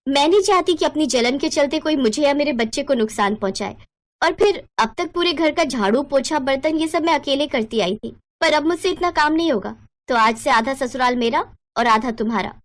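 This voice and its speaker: Woman acting a high, sharp-tongued young sister-in-law. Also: expressive, brisk. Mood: cold, insistent. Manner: indignant, accusing, argumentative.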